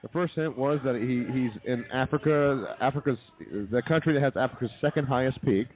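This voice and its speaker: A tinny, phone-like recording of a male comedy radio host. He talks quickly in casual, cheeky banter at a middling pitch. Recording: tinny narrow phone-like sound.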